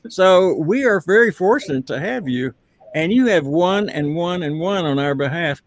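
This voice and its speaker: A man's voice: fairly high pitch, expressive, moderate pace, no room sound. A man hosting a podcast interview, asking long, winding questions in a casual way. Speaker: podcast host.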